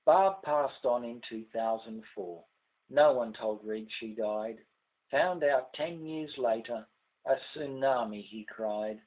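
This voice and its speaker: A man's voice: mid-range voice, phone-quality sound. An older man with an Australian accent reciting rhymed ballad verse with theatrical, emphatic phrasing. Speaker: amateur poet reading own verse.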